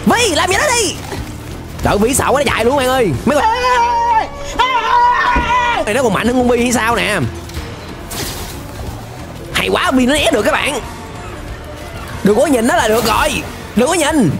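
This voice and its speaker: Lively young man reacting excitedly. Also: very high voice, normal speed, pauses often, over music. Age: young adult. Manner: animated, exclamatory, slangy. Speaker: reaction video host. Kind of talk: excited reaction commentary on an animated episode. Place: small indoor room, webcam setup. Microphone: close desk microphone.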